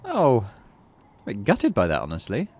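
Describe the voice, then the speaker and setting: British male gaming host, lowish voice with very varied pitch, casual commentary and read-aloud narration. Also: huge pitch swings, normal speed, darkish tone, husky. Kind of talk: casual playthrough commentary, reading game text aloud.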